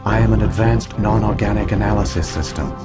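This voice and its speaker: Deep, solemn ancient AI played by a man, slow and measured, over loud game music. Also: close to an everyday voice. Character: ancient damaged male AI archive system, grave.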